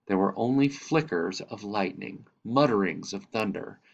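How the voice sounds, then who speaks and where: A man's voice: on the low side, animated, unhurried, creaky. A man narrating a storybook read-aloud, recorded with slightly dull, lo-fi sound.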